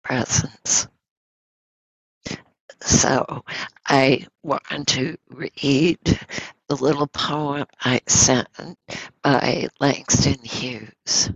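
A woman leads a guided meditation at an extremely slow, unhurried pace. Her voice is husky and creaky with a cold, and she speaks gently, leaving pauses between short phrases. The recording is close and slightly dull. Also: very deep voice, fairly even intonation, no echo. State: has a cold. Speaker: meditation teacher.

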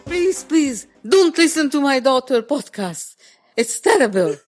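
A woman's voice: sits high, sing-song, a little slow, over music. A woman pleading in a mock-dismissive way.

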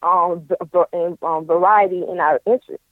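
A man's voice: high voice, fairly even intonation, no room sound. Man in his thirties on a tinny phone line. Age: 30s. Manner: easygoing, thoughtful, halting with fillers. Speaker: guest. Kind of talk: casual interview chat.

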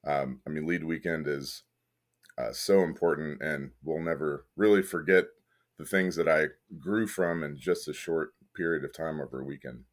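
Podcast guest: deep-voiced male arts director, relaxed, halting, filler-heavy. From Kentucky. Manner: thoughtful, many fillers and restarts, occasional laughs. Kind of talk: casual interview conversation.

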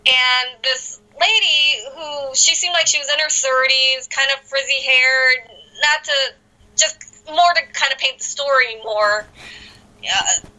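Bright, lively Midwestern woman telling stories. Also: sits high, unhurried, poor audio. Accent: American Midwest. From South Korea. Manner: animated storyteller who laughs often. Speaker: guest. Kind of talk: casual conversation.

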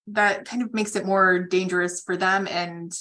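Female attorney on a call-in, bright clear-cut tone, slightly low pitch, explanatory and matter-of-fact. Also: steady tone, moderate pace. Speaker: immigration attorney answering callers. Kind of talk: advisory question-and-answer on a conference call.

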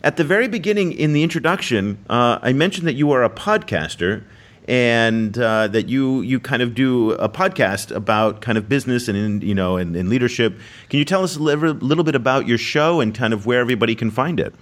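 A man hosting an interview podcast, wrapping up in a relaxed, chatty way with plenty of fillers. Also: medium pitch, a little fast. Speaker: podcast host.

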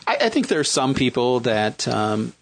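A man in his fifties with a Midwestern accent, talking casually as a guest, with many pauses and fillers as he searches for words. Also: mid-range voice, sing-song, gravelly. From Michigan, raised in Western New York. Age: 50s. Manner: hesitant, many fillers and restarts. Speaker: guest, single dad looking for a partner. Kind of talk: casual chat.

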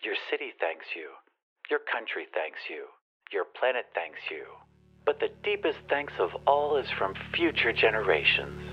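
A man voicing a very warm, formally polite female hostess on a government telephone service, in an audio drama. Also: fairly low pitch, very animated, moderate pace, dull audio, over music. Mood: warmly official.

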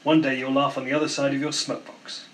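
A man's voice: medium pitch, flat delivery, fast talker, fairly bright tone, lightly acted. A boastful storybook steam engine, as a male reader puts him on, huffy and easily offended. He splutters his indignation like a proud character who expects respect. There is some background noise. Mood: cross.

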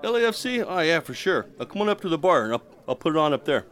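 A man's voice: fairly high pitch, big pitch swings, fast, fairly bright tone. A man playing a friendly, joking barman at a soccer pub in an audio drama, breezy and affable.

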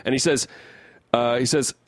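A man co-hosting a casual comedy music podcast, riffing haltingly and repeating his words as he goes. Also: mid-range voice, fairly even intonation, no echo. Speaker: podcast co-host.

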